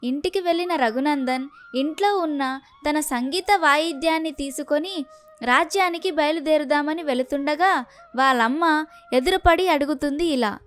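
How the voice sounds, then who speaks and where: A woman's voice: sits high, moderate pace, a bit bright, faint music behind. A woman reading scripted narration for a children's story.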